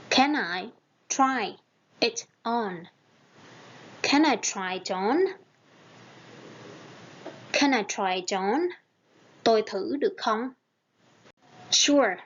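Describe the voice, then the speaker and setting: A woman reading short English practice phrases slowly and repeating them, each followed by a Vietnamese translation. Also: on the high side, highs cut off. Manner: very slow, carefully spaced phrases.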